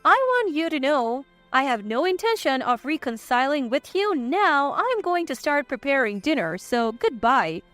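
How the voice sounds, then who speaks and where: Narrator as unyielding wife, scornful and firm, high animated female voice. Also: moderate pace, few pauses, soft background music. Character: cool, resolute wife divorcing her husband.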